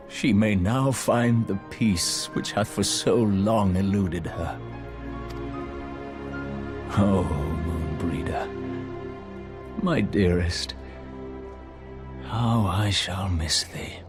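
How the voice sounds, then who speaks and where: A solemn scholar from a fantasy video game, speaks in a low, very slow voice. His diction is archaic and courtly, weighed with grief and formality, over a light bed of music. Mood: grieving, moved. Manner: formal, deliberate, theatrical.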